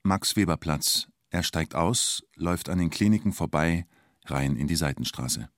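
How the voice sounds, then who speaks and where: An actor playing a narrator in a radio play, telling events in clipped, colloquial present-tense phrases. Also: male voice, deep voice, lively intonation, moderate pace, dry recording, clean studio sound. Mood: terse. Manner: terse, colloquial, short phrases.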